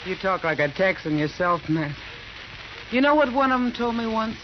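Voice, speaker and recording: A woman's voice: mid-range voice, lively intonation, moderate pace, lightly acted. An actress playing a tough, wry saloon woman in a radio western, with a dry sardonic manner. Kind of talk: 1950s radio western acting. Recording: hissy, muffled old radio recording.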